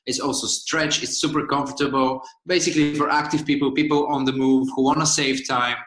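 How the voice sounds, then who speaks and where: A man in his twenties from Bulgaria answers interview questions in a bright voice, earnest and often pausing on "uh" to find his words. His voice rings in a roomy, echoing space. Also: on the high side, little pitch movement. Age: 20s. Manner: earnest, hesitant, many "uh" fillers. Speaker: guest, startup founder.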